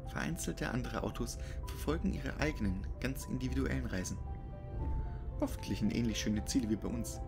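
A man narrating a scripted horror story. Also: mid-range voice. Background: music.

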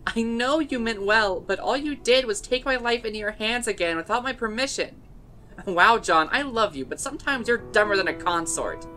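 A woman's voice: a little high, animated, moderate pace, bright, forceful tone, faint music behind. A woman playing a caring but hurt, blunt young woman in a fan dub drama, speaking directly and earnestly. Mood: hurt, exasperated.

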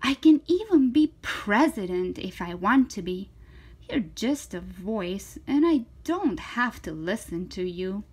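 A woman's voice: mid-range voice, very animated, a little slow, darkish tone, husky. A woman voicing a confident, defiant little girl from a children's storybook, emphatic and eager. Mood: proud, confident.

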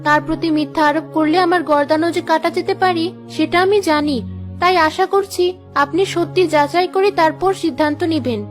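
A woman performs a poor, kind-hearted girl in a fairy-tale cartoon. Her voice is high and girlish, humble and earnest, with music clearly playing behind her. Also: fairly quick, close to an everyday voice.

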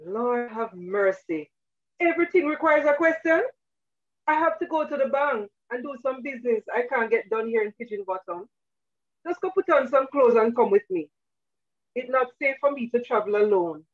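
A woman's voice: a little high, lively intonation, normal speed, lo-fi sound, natural voice. A woman playing a bossy, exasperated grandmother with a Jamaican accent in a radio drama, commanding in manner.

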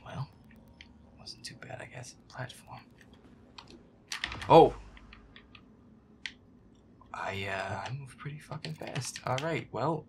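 A man muttering sarcastic, sweary gameplay commentary in a breathy, casual way, close to the mic. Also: mid-range voice, expressive, takes it slowly, long pauses, in a whisper. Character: feisty werewolf boyfriend playing a scary game. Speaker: gamer playing a horror game.

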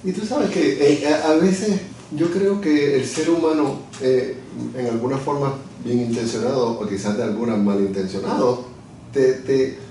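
A man's voice: mid-range voice, warm tone, a little hiss or hum. A middle-aged man being interviewed in an office, explaining his views thoughtfully and often searching for words with restarts. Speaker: interview guest, lawyer and communications director. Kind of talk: reflective conversational explanation. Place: office, seated at a desk. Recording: echoey room from far off.

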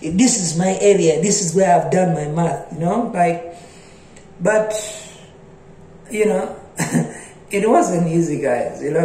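A young man telling funny childhood stories to his phone camera, laughing often and slipping into high voices for the people he quotes. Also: darkish tone. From Africa. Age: young adult. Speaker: vlogger. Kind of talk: casual comic storytelling to camera. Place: small bright indoor room. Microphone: phone held close at arm's length. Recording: echoey small room.

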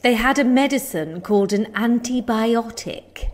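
An actress playing an earnest, deferential medieval seer in a comic audio drama, formal and archaic. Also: female voice, fairly high pitch, unhurried, lightly acted. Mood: solemn, explaining.

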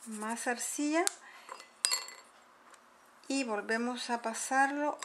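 A middle-aged woman calmly explaining, step by step, how she mixes powders at a table at home, filmed by a camera above the table. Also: on the high side, takes it slowly, background noise. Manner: patient step-by-step explaining while mixing powders. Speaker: makeup tutorial host. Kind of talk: casual how-to demonstration. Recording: home video with clinking dishes. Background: clinking spoons and bowls.

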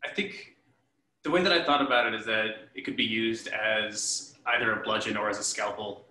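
A man in his thirties discusses his work casually as a panel guest. His voice is a bit low yet intensely bright and cutting. It comes over a video call with a strong room echo. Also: normal speed. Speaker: interviewed theatre director and performer. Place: living room at home, indoors. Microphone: computer mic at a short distance, video call. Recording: roomy, echoey home video call.

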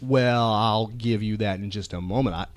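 A man's voice: lowish voice, normal speed, no room sound. A man hosting a chatty pop culture podcast, talking with enthusiasm and emphasis, often repeating phrases for effect. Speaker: podcast host.

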